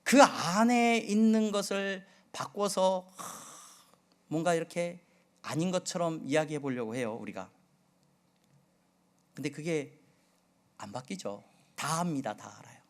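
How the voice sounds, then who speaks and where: A middle-aged male pastor from the Jeolla region preaching a sermon, with a slight Jeolla accent, asking his congregation many questions and moving between loud and soft delivery. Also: a little high, animated, unhurried, pauses often. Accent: Seoul Korean with occasional Jeolla dialect. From Jeolla region, Korea.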